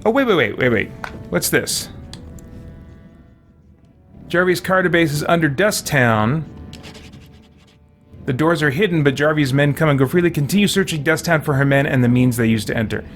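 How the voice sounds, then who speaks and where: A man's voice: a little high, fairly bright tone. A man streaming a video game, commenting casually to himself with folksy, mildly exasperated exclamations.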